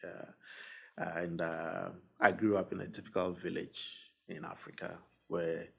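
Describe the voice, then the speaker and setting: A middle-aged man from Ghana, a guest professor answering questions in a measured way with many pauses. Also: sits low, little pitch movement, creaky, husky. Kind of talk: conversational interview.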